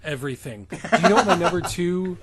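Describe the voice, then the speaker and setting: A man co-hosting a comedic reading, breaking off to mock the story in halting asides. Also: high-pitched, expressive, over a noisy crowd. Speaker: podcast co-host. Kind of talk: comedic dramatic reading with banter.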